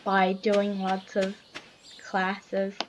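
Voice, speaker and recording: A young woman with a slightly hoarse voice, chatting casually as she plays a farming game and reads its text aloud. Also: mid-range voice, completely flat delivery, drawn-out words, dull audio, heavy background noise. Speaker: gamer narrating a farming game. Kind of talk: casual let's-play commentary. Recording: game music loud behind voice.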